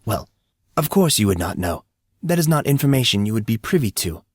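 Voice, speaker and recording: A man's voice: on the low side, very animated, fairly quick, husky, dry recording, clean studio sound, exaggerated voice. A man voicing an amused, courteous school employee who speaks formally and precisely, patiently explaining. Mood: calm, amused.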